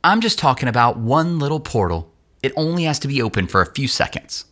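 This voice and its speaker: Bright, cocky young male gambler played by an actor. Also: mid-range voice, big pitch swings, a little fast. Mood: brash. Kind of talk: audio drama acting.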